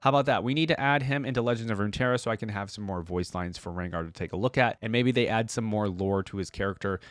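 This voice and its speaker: A man's voice: lowish voice, fast, dry recording, studio quality. A man talking casually to his viewers as a reaction host, in rambling, conversational unscripted commentary. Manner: rambling, conversational, frequent "like" and "right?".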